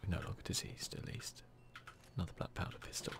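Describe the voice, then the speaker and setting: A man giving soft, near-whispered ASMR commentary as he hosts a gaming video, in a casual, muttering, self-deprecating way. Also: fast, noisy background.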